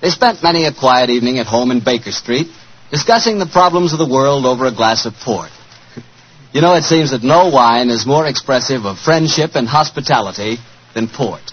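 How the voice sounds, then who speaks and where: A man with an American accent announcing and pitching a sponsor in a polished, salesmanlike 1940s radio style. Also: lively intonation, moderate pace, breathy edge. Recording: dull 1940s radio recording.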